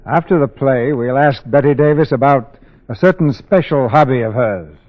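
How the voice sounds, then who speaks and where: A man's voice: medium pitch, moderate pace. A man hosting a 1940s radio theatre, reading scripted narration in a formal, dramatic way. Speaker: radio show host and narrator. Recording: dull 1940s radio broadcast.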